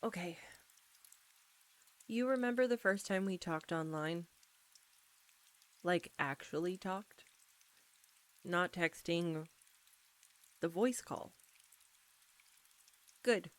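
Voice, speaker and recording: A woman playing an embarrassed, anxious young roommate in an intimate audio roleplay, speaking softly and haltingly straight to the listener. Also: on the low side. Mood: nervous, hesitant. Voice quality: soft. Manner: halting, flustered, nervous stumbling.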